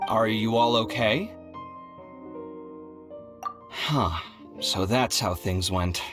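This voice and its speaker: An actor playing an expressive, fussy young man in a video game, theatrical and easily exasperated with his housemate. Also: on the low side, extremely slow, music in the background. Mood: concerned. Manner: theatrical, indignant.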